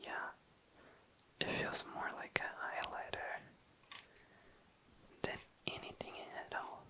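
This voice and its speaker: An ASMR host whispering in a breathy, unvoiced voice, musing casually and tentatively, close to the mic. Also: takes it slowly, sharp tone. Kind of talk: whispered ASMR, casual makeup swatching narration.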